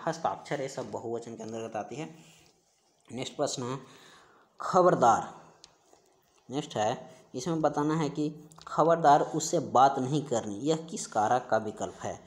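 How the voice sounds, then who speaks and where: A man teaching an exam-preparation class, going through questions and answers in an instructional, repetitive way. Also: mid-range voice. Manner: repetitive, instructional, stressing key terms by repeating them. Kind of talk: explaining quiz questions in an online class.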